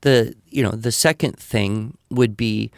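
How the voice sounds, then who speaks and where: A man's voice: on the low side, a little slow, creaky, smooth, dry recording, clean studio sound. An older man with an American accent giving conversational advice in a reassuring, explanatory manner. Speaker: podcast expert answering listener questions.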